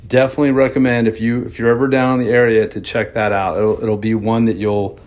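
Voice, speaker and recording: A man, a pilot presenting his flight video, talking casually and haltingly as he thinks aloud. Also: mid-range voice, little pitch movement, a little mellow. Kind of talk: casual recap to camera.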